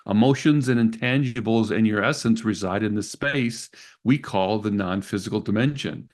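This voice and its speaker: A man co-hosting a radio show, explaining self-help and spiritual ideas in a measured way. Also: lowish voice, darkish tone.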